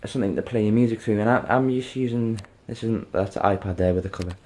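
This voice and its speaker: A man presenting an informal how-to demonstration, halting and rambling, often checking in with the listener. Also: on the low side, dark tone. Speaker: video tutorial presenter.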